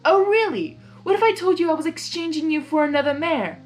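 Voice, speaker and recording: A woman's voice: very high voice, lively intonation, a little fast, soft background music, pitched above the performer's own voice. A woman voicing a proud, teasing male chaos creature from a cartoon fan story, in a theatrical, emphatic way. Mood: gleeful teasing.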